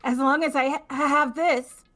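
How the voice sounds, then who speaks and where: A woman's voice: sits high, fairly even intonation, measured pace, fairly bright tone, faint music behind. A woman voicing a haughty young woman obsessed with her master, stuttering with contempt in an amateur game dub. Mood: infatuated. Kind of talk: amateur voice acting of a video game.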